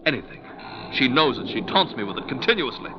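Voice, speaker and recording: A man plays a tormented, jealous painter in a 1940s radio play. He speaks in halting, intense bursts and repeats himself, volatile underneath. The sound is muffled, with clear background noise. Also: medium pitch, very animated, natural voice. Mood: anguished, bitter. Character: overwrought jealous male painter, obsessed with his wife.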